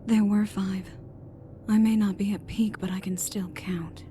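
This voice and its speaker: A mellow, low-key actress playing a female secret agent in an audiobook drama, cool and self-assured with a weary, wry edge. Her lines are terse and fairly flat, set over background music. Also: measured pace, lightly acted. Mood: defiant, terse.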